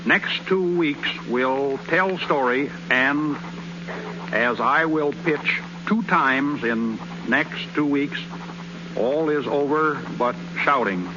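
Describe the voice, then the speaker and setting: An actor playing a boastful young baseball pitcher who stammers out excuses in a folksy, ungrammatical drawl, in a 1930s radio drama. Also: male voice, takes it slowly. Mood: dreamy. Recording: dull, hissy 1930s radio broadcast.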